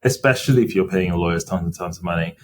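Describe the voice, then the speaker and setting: A middle-aged man, a company finance chief, answering interview questions in a measured, explanatory way with frequent fillers. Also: low-pitched, a little hiss or hum. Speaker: company CFO as podcast guest. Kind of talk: interview conversation.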